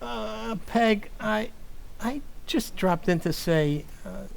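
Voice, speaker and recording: A man playing a blustery, slow-talking hapless husband. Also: high voice, vocal fry, heavily acted. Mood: sheepish, pleading. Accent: American. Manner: blustery, hesitant, stammering. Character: hapless, soft-hearted working-class American husband. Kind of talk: old-time radio comedy acting.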